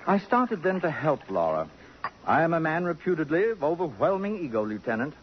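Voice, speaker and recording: An actor playing a vain, acid-tongued middle-aged columnist with pompous, precise diction in a 1950s radio drama. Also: male voice, a little high, sing-song, fairly quick. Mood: wistful, self-important. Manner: pompous, precise, sardonic, elaborate phrasing. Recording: muffled, noisy 1950s radio broadcast.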